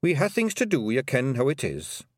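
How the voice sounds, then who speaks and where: A man's voice: medium pitch, wildly swooping pitch, moderate pace, a little mellow, no echo, studio quality, voice pushed up. A man voicing a tiny, fierce male fairy-clan warrior who speaks in a broad rustic dialect. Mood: grieving quiet.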